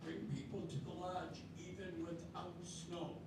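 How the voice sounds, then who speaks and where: A plain, matter-of-fact showman, read by an older man. He speaks fairly high for a man. The voice is distant, in a big echoing hall with room noise. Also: little pitch movement, unhurried. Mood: earnest. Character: Bob, a male showman and ex-soldier. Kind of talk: amateur readers theatre.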